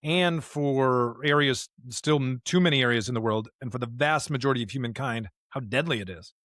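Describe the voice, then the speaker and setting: A man's voice: medium pitch, fairly quick, a bit bright, dry recording, clean studio sound. A man co-hosting a podcast, reflecting on faith conversationally in an earnest, emphatic way, with rhetorical questions. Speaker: podcast co-host. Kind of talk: conversational, reflective religious discussion.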